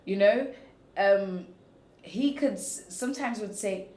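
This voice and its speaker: A woman's voice: mid-range voice, slow pace. A young woman telling her story frankly as an interview guest, acting out what people said to her. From Ghanaian heritage, lives in Belgium. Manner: frank, animated, acts out quotes. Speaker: interview guest, former pageant winner. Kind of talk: candid conversational storytelling. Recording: echoey room with faint ambient noise.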